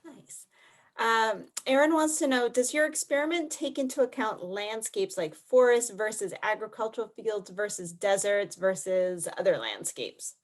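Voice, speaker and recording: A woman's voice: mid-range voice, normal speed. A woman hosting a science presentation, informally relaying audience questions and moderating. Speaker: host of an online science presentation series.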